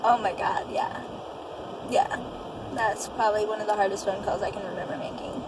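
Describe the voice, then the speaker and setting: A woman's voice: mid-range voice, fairly even intonation, moderate pace, noisy background. A young adult woman telling her own story casually and candidly, in colloquial speech with quoted dialogue.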